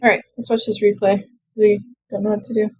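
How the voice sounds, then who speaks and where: A young woman casually commenting on her own strategy game play, chatty and quick to laugh at herself. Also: mid-range voice, flat delivery, husky, no echo, muffled recording. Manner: chatty, self-deprecating, laughs often. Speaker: let's play gamer.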